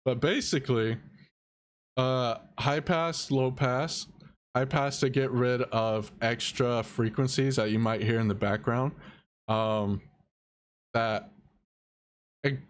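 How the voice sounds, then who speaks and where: Young male streamer, quiet low-key delivery, drawn-out pace. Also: fairly even intonation. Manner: soft-spoken, halting, reads chat aloud. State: dry mouth. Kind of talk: casual live-stream tutorial.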